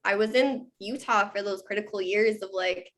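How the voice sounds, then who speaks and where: A woman's voice: medium pitch, normal speed, a bit bright, a little hiss or hum. Self-mocking young woman. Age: 20s. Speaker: podcast host. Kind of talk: casual podcast chat.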